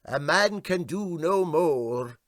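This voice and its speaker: A man's voice: a little high, expressive, slow pace, a bit bright, dry recording, clean studio sound, pitched above the performer's own voice. A man playing a soothing, sympathetic, philosophical ship's mate, in a storybook reading. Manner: placid, philosophical.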